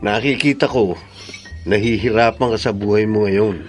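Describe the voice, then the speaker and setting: An actor playing a protective, blunt older brother in a radio drama, speaking with a Filipino accent. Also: male voice, on the low side, normal speed, faint music behind, lightly acted. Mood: friendly, concerned.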